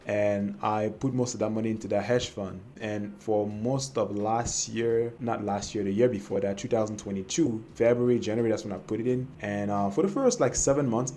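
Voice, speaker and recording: A man's voice: lowish voice, mellow, slight background noise. A young man from Cameroon telling his personal trading story to camera, hesitant and full of fillers and restarts. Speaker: trading vlogger.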